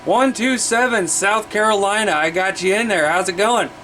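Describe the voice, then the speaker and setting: A man's voice: high voice, normal speed. An American man talking casually as a CB radio operator, making contacts over the air. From Iowa, United States. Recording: very noisy radio static and hum.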